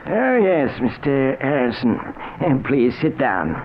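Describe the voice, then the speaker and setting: A polite, formal moneylender in a vintage radio play. His medium-pitched voice swings widely and draws words out, on a dull old recording. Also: very animated, slow. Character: polite male money lender at his office. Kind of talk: old-time radio drama acting. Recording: dulled vintage radio, faint noise.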